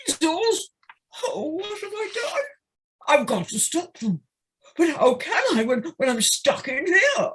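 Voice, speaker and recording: A woman's voice: sits high, big pitch swings, a little slow, a bit bright, full character voice. A woman playing a self-pitying, indignant, vain male toad with melodramatic bluster in an amateur audio play.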